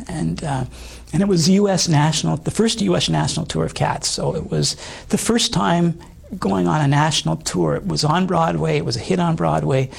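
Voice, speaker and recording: Middle-aged man, badly husky and hoarse, earnest interview guest telling his story haltingly. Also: on the high side. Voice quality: hoarse voice from old vocal damage. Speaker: interview guest, former stage singer. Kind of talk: personal testimony.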